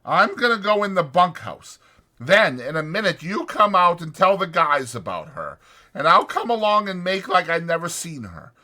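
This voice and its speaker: A man's voice: high voice, very animated, normal speed, pushed and bright, voice pushed up, full character voice. A man voicing a weary, resigned but protective ranch worker in an audiobook, with blunt, ungrammatical rural working-man speech. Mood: firm urgency. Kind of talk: dramatized audiobook character voice.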